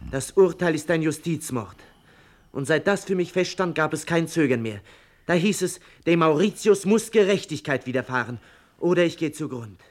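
Man playing young male idealist, medium pitch, solemn, insistent, polite but direct, radio play. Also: lightly acted. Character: earnest, stubborn young man with burning idealism. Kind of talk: German radio drama acting.

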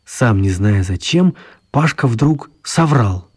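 A man's voice: medium pitch, animated, slow, breathy edge. A man acting as the narrator of a children's story, in scripted storybook narration. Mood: storytelling. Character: male storyteller of a children's tale.

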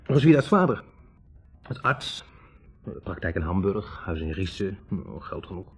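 A man's voice: low-pitched, sing-song, unhurried, slightly rough, soft background music, exaggerated voice. An actor playing a young man, a physics student, hesitantly recalling a troubled love in a conversational 1960s radio play monologue. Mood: wry recollection. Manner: hesitant, self-correcting, reflective. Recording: muffled old radio recording.

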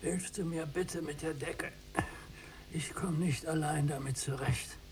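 A male actor plays a frail, resigned old prisoner in a radio play. He talks slowly in a slightly high voice, measured and weary. A faint noise sits under the voice. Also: fairly even intonation, darkish tone, close to an everyday voice. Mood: frail, gentle. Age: older. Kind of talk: German radio drama acting.

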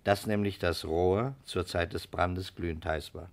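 An actor playing a courteous, shrewd detective who speaks with polite, deliberate probing in a 1960s radio crime drama. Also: male voice, low-pitched, fairly even intonation, gravelly. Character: shrewd, courteous male detective quietly cornering a suspect.

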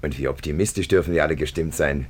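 A man playing a smooth, flattering state secretary in a 1950s German radio drama, effusive and wordy. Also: rumbling low, fast talker. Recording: noisy vintage radio recording.

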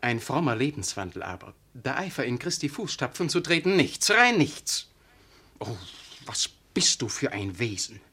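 An actor playing a fervent, self-righteous young curate, with impassioned, declamatory delivery in a German radio drama. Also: male voice, mid-range voice, big pitch swings, normal speed, bright, forceful tone, breathy edge, exaggerated voice. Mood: indignant, pious.

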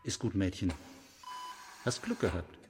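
An actor playing a gruff, resigned but caring male caretaker in a radio drama. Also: low-pitched, sing-song, a little slow, long reverb tail, very noisy.

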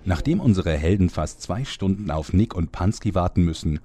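A man's voice: deep voice, normal speed, mellow, lightly acted. A man narrating a fantasy parody audio drama as a scripted storyteller. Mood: storytelling.

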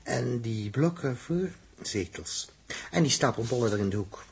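A man's voice: mid-range voice, expressive, moderate pace, creaky, husky, full character voice. A man acting a sardonic, complaining space test crewman in a 1960s Dutch radio drama. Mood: pondering. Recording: noisy vintage 1960s radio recording.

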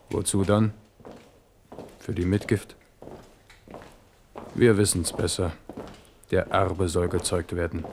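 A man's voice: sits low, darkish tone, slight background noise. An actor playing an aging, sardonic and commanding French king in a theatrical radio play style. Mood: resigned, brooding.

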